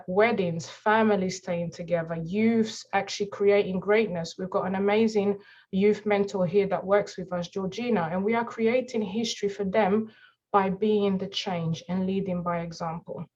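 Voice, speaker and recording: A woman from Mozambique, an empowerment coach hosting the meeting, presenting in an upbeat, affirming way and repeating phrases for emphasis. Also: mid-range voice. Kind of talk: motivational presentation on a video call. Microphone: video call. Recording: slightly dull video-call audio.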